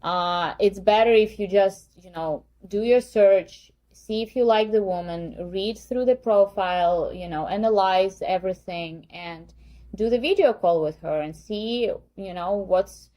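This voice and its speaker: A young woman with a Ukrainian accent hosts a live dating webcast, offering friendly, chatty advice. Her voice is mellow and warm, and she pauses often on fillers as she explains. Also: medium pitch. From western Ukraine. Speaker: dating agency host.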